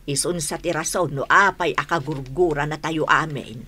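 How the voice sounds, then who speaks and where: A Filipino woman playing a gossipy, disapproving older village mother in a radio drama, with a husky voice. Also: low voice, normal speed, pushed and bright, full character voice.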